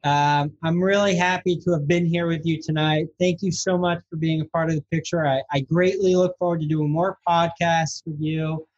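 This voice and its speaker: A man's voice: fairly high pitch, steady tone, normal speed, few pauses, highs cut off. A man chatting as a podcast guest over a video call, enthusiastic and promotional. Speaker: film director, guest. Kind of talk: casual chat.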